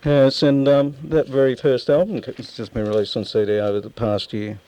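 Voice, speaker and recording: A man conducting an informal arts radio interview, speaking haltingly as he feels for his questions. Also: medium pitch, little pitch movement, mellow, low-quality recording. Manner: hesitant, halting, feeling for questions.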